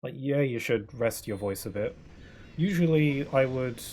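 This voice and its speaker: A man's voice: medium pitch, unhurried, slight background noise. A young man chatting casually while streaming a video game, rambling with lots of fillers and restarts. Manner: rambling, soft-spoken, many fillers and restarts. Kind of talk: casual chat while gaming. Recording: game music playing behind.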